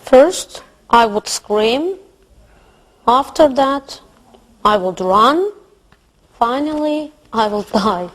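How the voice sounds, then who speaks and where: Very slow, high-voiced female student. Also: lively intonation, low-quality recording. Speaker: student in an English class. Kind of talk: answering a lesson question.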